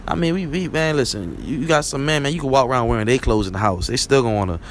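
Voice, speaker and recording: Barbadian man, rambling chatty monologue, mid-pitched voice, faint background hiss. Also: lively intonation, fast. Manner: rambling, conversational, frequent "you know what I'm saying". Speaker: vlog host. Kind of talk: casual solo monologue.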